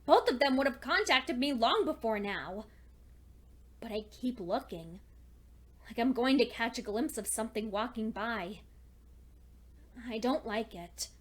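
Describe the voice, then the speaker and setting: A woman voices a young female pony, high in pitch and slow in pace. She is lonely and frightened, keeping a journal in a horror tale. Her delivery is anxious, halting and intensely emotional. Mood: uneasy, afraid. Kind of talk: dramatic first-person horror fan fiction reading.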